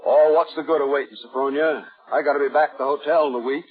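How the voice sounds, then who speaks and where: A man's voice: on the high side, fairly even intonation, fast talker, faint music behind, close to an everyday voice. Folksy, blunt radio suitor on a tinny broadcast played by a man. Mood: urgent, coaxing. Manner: plain-spoken, clipped, folksy. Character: folksy, blunt, controlling suitor, a Maine hotel keeper. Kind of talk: 1950s radio drama acting. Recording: thin, band-limited vintage radio broadcast.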